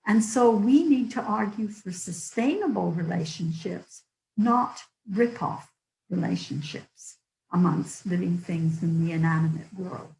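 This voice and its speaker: An older woman with a hoarse voice, giving an earnest public lecture with stories and the odd filler. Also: lowish voice, animated, a little slow, a little mellow, echoey room, rough recording. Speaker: guest lecturer.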